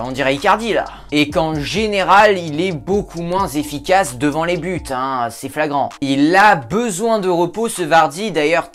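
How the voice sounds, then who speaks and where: A man hosting a casual football talk show, chatting and joking with viewers, with frequent hesitations. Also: fairly high pitch. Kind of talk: casual football commentary vlog.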